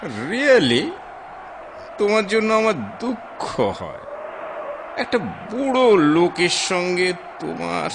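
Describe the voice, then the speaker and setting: A man's voice: high voice, big pitch swings, slow, gravelly, heavily acted. An actor playing the nervous secret lover of a married woman in an audio drama, suave but hesitant and murmuring, on a noisy track. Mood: flirting. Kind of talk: Bengali audio drama acting. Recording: noisy, with ambient sound effects.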